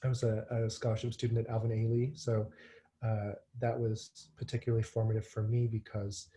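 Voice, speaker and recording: A man in his thirties, from Hong Kong, talks as a podcast guest. His voice is mellow and dark, and his delivery stays flat and even. He tells his story casually, with ums and restarts. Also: on the low side, brisk, a little hiss or hum. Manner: relaxed, frequent fillers and restarts. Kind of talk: conversational storytelling.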